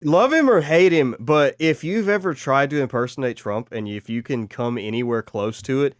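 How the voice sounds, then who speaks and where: Hoarse, joking male podcast host. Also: medium pitch, animated, normal speed, dry recording, studio quality. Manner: joking, self-deprecating. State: hoarse from a cold. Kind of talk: casual chat.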